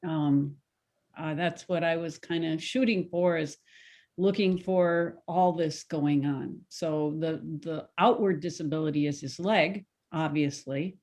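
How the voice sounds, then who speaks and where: A woman explaining her creative intent as a playwright, haltingly searching for words. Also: sits low, a little mellow. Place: virtual video call.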